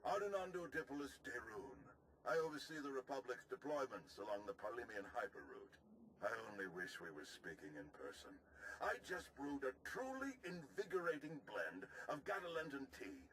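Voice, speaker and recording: An actor playing a courteous, formal older military officer with refined, measured speech in a video game cutscene. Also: male voice, mid-range voice, big pitch swings, fairly bright tone, a little hiss or hum, rough recording. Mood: gracious.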